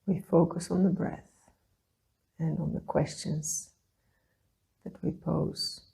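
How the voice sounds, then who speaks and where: A woman leading a guided meditation, speaking in a soothing, gentle way with long pauses between phrases. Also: sits low, fairly even intonation, gravelly, breathy edge, poor audio. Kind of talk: guided meditation, calm instruction.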